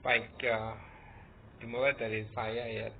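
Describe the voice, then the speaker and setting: A man's voice: medium pitch, flat delivery, unhurried, vocal fry. A man hosting and casually introducing a recorded discussion in a chatty, loose way. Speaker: host. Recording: phone-like audio with faint noise.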